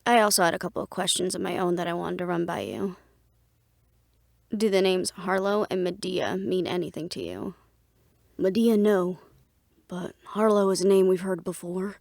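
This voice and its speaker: A woman acting a guarded, businesslike plotter in an audio roleplay drama, speaking in a measured, serious way. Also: fairly low pitch, vocal fry, darkish tone, no echo, clean studio sound.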